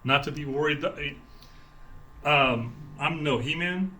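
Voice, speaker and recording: Guy doing an informal sales rundown, crisp bright voice, halting restarts, bit of room noise behind. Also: fairly high pitch. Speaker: sales director for a loudspeaker maker. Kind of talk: informal product explanation in an interview. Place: exhibit room at an audio trade show.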